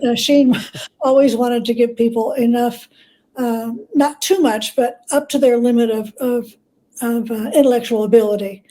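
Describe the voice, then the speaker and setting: A woman with a soft-edged, mellow voice set a little high speaks as an interview guest. She tells stories from history in a conversational, measured way, pausing to find the next piece. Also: rough recording. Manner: measured, pauses to gather thoughts. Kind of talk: conversational storytelling.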